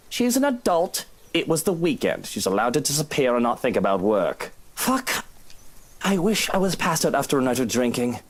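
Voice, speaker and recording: Sardonic young gentleman, swinging pitch. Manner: sardonic, exasperated. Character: irritable, haughty, sleep-deprived young male heir.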